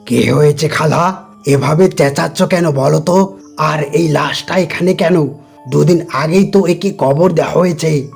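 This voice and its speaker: A woman acting a gruff cartoon tiger boss with a dark, mellow tone. The tiger is suspicious and quick to boss people around. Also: low voice, faint music behind. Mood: concerned.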